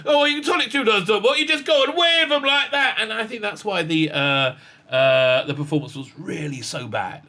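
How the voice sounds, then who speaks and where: Male host for a fan commentary show, bright and forceful, rambling and excitable. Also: sits high. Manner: excitable, many fillers and asides, laughs often. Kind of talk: casual commentary reading news aloud.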